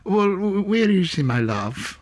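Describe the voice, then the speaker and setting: A man's voice: sits high, big pitch swings, a little slow, voice pushed up, heavily acted. A man voicing an old, repentant miser in an audiobook, stammering and repeating himself in breathless exclamations. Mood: flustered, eager. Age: older.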